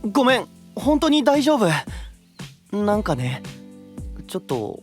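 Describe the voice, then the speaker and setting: An actor playing a gentle, earnest, boyish young knight with a hesitant manner in an anime-style voice drama. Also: mid-range voice, sing-song, unhurried, faint music behind. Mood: wistful. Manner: soft, hesitant, trailing off.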